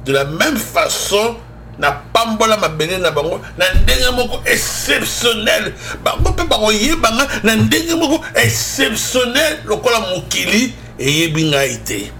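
High-voiced Congolese man preaching on air. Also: expressive, measured pace, sharp tone, faint music behind. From Democratic Republic of the Congo. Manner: emphatic, switching between Lingala and French. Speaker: religious broadcast host and preacher. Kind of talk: conversational preaching on a radio show.

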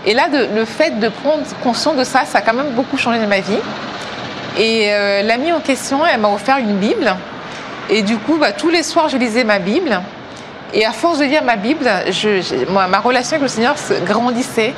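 A woman's voice: a little high, lively intonation, noisy background. Hesitant woman telling her faith story. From Africa. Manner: hesitant, many pauses and fillers. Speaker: testimony speaker. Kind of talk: personal faith testimony.

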